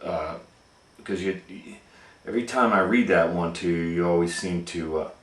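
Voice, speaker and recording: A man's voice: low voice, normal speed. A man hosting storytime, telling a children's story informally with hesitant, conversational asides. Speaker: storytime host and reader.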